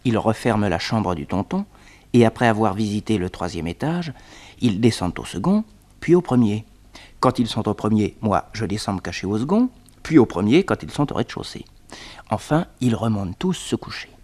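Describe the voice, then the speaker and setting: A man's voice: on the low side, animated, moderate pace, a little mellow. A man playing a young detective who tells his adventure with suspense, in a 1960s radio serial. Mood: lively, suspenseful. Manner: storytelling, suspenseful, addressing listeners. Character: jaunty young male detective telling his own adventure.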